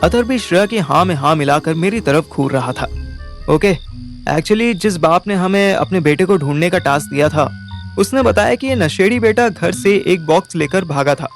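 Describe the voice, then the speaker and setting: An actor plays a young Indian man recounting his schemes in an audio drama. His voice sits a little high, with a wry, colloquial delivery that turns placating in dialogue. Music runs clearly underneath. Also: animated, normal speed. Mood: explaining, placating. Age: young adult. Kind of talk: first-person audio drama narration and dialogue in Hindi-English mix.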